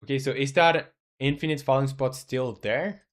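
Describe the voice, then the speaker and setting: Man, 20s, mid-pitched, casual live-stream developer, rambling and filler-heavy, thinks aloud. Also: fairly even intonation, moderate pace.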